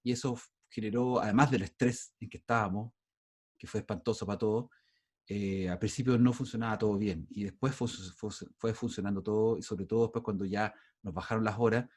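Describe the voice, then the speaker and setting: A man's voice: fairly low pitch, steady tone, brisk, darkish tone. A middle-aged man with a Chilean accent teaching a university class over a video call, talking in a loose, conversational way with frequent restarts. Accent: Chilean Spanish. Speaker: university lecturer. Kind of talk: online class lecture.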